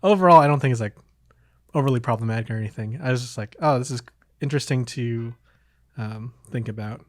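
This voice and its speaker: A man with a mid-pitched voice talks casually, like a podcast host. He feels his way through thoughts aloud, rambling and hesitant, in a very dry recording with no room sound. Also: fast talker, dry recording. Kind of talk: casual chat.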